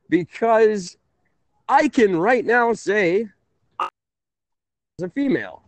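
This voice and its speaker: A man hosting a conversational call-in radio show, halting and often interrupting himself. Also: sits high, dry recording. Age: 30s.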